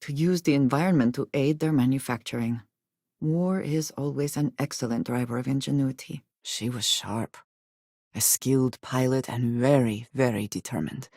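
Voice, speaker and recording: An actress playing a guarded, intense female space explorer in a video game, speaking in formal, measured, deliberate phrases. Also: very deep voice, dark tone, dry recording, clean studio sound. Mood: measured, serious. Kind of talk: video game character dialogue.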